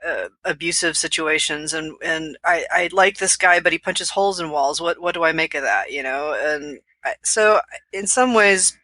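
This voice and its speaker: A woman with a lowish, bright and forward voice talks as a podcast co-host. She chats casually about books, explaining things in a reflective manner, in a very dry recording. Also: normal speed, dry recording. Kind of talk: casual book discussion chat.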